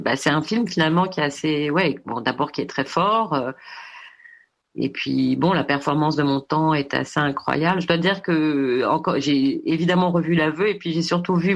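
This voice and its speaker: Hesitant woman full of restarts. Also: low-pitched. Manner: halting, many fillers and restarts. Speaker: documentary filmmaker interviewed. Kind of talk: radio interview answer.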